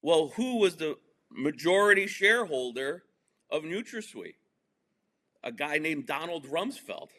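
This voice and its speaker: A man's voice: fairly high pitch, very animated, measured pace, sharp tone. A man from New Jersey whose first language is Spanish, telling his life story as a guest in a casual interview, chatty and full of fillers, laughing at his own jokes. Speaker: podcast guest.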